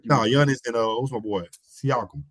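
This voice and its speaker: A man with a slightly hoarse voice from a cold, talking basketball as a podcast guest in animated casual chat, repeating his points. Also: mid-range voice, fairly quick, no echo, studio quality. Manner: animated, repeats phrases, slangy. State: hoarse, getting over a cold.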